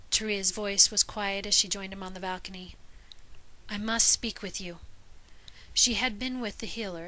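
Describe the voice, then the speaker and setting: A woman's voice: mid-range voice, sharp tone, poor audio. A woman reading fiction aloud as a scripted story narration. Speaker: podfic reader.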